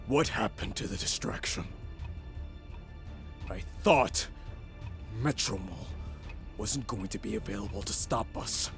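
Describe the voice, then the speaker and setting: Casting: scared male lackey, gravelly creak, hesitant slow speech, deferential, audio drama. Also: fairly low pitch, a bit bright, faint music behind. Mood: frightened, submissive. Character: frightened, submissive male getaway-driver henchman.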